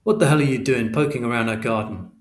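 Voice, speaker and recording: A man voicing an exasperated middle-aged father who scolds and shouts. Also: medium pitch, normal speed, natural voice. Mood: angry. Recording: roomy echo.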